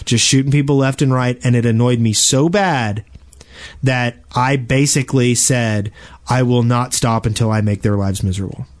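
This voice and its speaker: A man's voice: medium pitch, moderate pace. A man co-hosting a casual gaming podcast, telling anecdotes in a rambling, conversational way. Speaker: podcast co-host.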